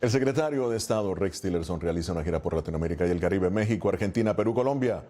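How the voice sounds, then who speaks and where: A man with a low, mellow voice anchors a studio news segment. He speaks formally and leads the panel with pointed questions. His tone stays relaxed throughout. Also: very fast. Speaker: news host.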